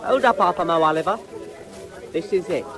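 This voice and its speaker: A man's voice: high-pitched, a little fast, natural voice. An actor playing a cocky, bossy, streetwise teenage boy pickpocket in 1940s audio drama style. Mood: cocky, sly.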